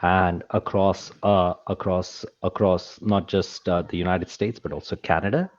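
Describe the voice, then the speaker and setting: Very deep man, dry lo-fi sound. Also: flat delivery, unhurried. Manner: dry humor, explanatory. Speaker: guest author. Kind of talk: casual discussion.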